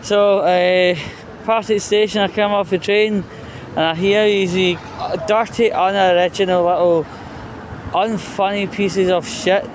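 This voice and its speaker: High-voiced Scottish man, slow and blunt. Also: flat delivery. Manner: blunt, sweary, aggrieved, halting phrasing. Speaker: vlogger. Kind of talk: outdoor rant to camera, casual monologue. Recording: clear traffic and outdoor noise.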